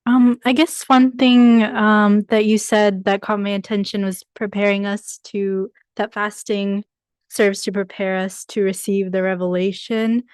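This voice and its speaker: Student woman, tentative, smooth voice, measured pace. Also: mid-range voice, little pitch movement, dry recording. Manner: tentative, many "like" and "I guess" fillers. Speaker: student in the class. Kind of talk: answering in a class discussion.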